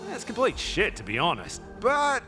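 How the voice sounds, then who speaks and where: A highish, animated male voice for a crude but good-natured mate in a video game. He is blunt and colloquial, with faint music behind him. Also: normal speed. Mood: blunt, wry.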